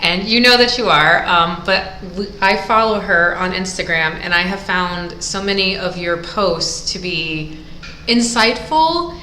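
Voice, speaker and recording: Woman interviewer, bright voice, gushing intros, echoey room with a little hiss. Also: on the low side, unhurried. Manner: effusive, enthusiastic introductions. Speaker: interview host. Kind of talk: casual interview hosting. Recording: echoey room with faint noise.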